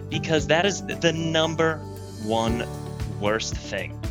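Guy doing improvised upbeat training spiel, polished presenter delivery, music playing behind him. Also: mid-range voice. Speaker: guest, comedic corporate trainer.

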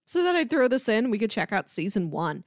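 A woman chatting casually as a podcast co-host, pitching shows to her partner. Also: very animated, a little fast, dry recording, studio quality.

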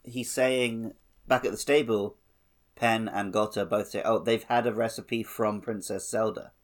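A man's voice: lowish voice, fairly even intonation, measured pace. A man chatting casually and wryly as a gaming podcast co-host.

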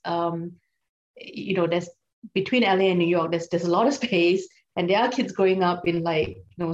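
A woman in her forties speaks in a lowish voice as a podcast guest. She talks things through thoughtfully, with many "um"s and restarts. The recording sounds dull and lo-fi. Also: darkish tone. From Singapore. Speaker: law lecturer, podcast guest. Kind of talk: conversational interview.

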